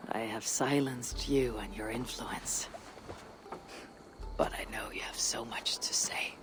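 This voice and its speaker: A woman playing a grave, introspective Viking warrior in a video game, husky and breathy, speaking in solemn, deliberate phrases. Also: very deep voice, in a whisper. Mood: brooding, solemn. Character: weathered female Viking warrior, grave, introspective, loyal leader. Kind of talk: dramatic video game acting. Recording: music behind the voice.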